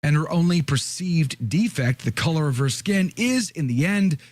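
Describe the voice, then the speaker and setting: Hoarse, raspy man reading aloud. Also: fairly high pitch, lively intonation, moderate pace, darkish tone, dry recording. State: hoarse from a cold. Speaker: podcast host. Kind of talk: reading an article aloud with commentary.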